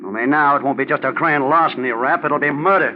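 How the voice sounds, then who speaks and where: An actor playing a scheming, impatient crook leader with a curt manner in a 1940s radio crime drama. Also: male voice, medium pitch, quick pace, lightly acted. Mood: angry, alarmed. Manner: curt, impatient, tough talking. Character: tense, scheming male crook, the bossy leader. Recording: muffled 1940s radio recording, faint noise.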